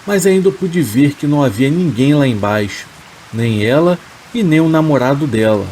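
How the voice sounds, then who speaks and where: A man calmly reading first-person horror stories in a steady, scripted narration. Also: mid-range voice, moderate pace, rough recording. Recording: faint rain and hiss behind the voice. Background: rain sounds.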